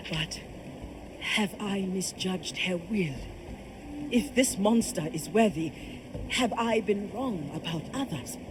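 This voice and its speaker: A woman's voice: medium pitch, lively intonation, takes it slowly, background noise. A woman playing a proud, commanding and devout kraken priestess in a video game, solemn and declamatory. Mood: troubled. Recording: music behind the voice.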